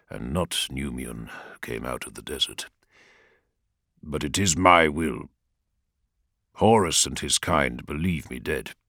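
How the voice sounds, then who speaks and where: A solemn demigod lord speaks low and quietly with grave, measured command, as a man narrating gives him voice. His voice drops below the narration and stays weary and controlled, in a dry, close recording. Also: vocal fry, no room sound, heavily acted.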